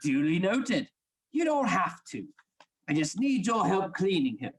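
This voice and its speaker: A man's voice: high-pitched, sing-song, measured pace. A man acting in a radio play as a domineering, scheming Victorian anatomy assistant, with commanding, formal, theatrical speech. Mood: cold, controlling.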